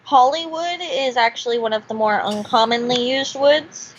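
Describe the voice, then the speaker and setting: A woman's voice: a little high, normal speed, dull audio, slight background noise. A woman co-hosting a casual chat, sometimes reading quiz questions aloud. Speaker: co-host.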